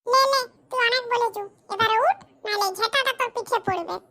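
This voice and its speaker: A performer voicing a fed-up, sarcastic wife scolding her husband in a comedy cartoon, with a rural West Bengal accent and a pitch-shifted voice. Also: brisk, heavily acted. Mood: exasperated. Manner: sharp, sarcastic, colloquial scolding. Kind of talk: comedy cartoon skit dialogue.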